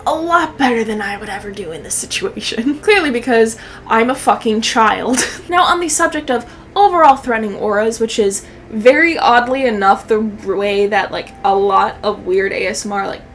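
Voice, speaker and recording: A woman's voice: on the high side, expressive, normal speed, a little hiss or hum. A young woman giving casual, sweary, joking reaction commentary full of slang, as a YouTube commentator. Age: young adult.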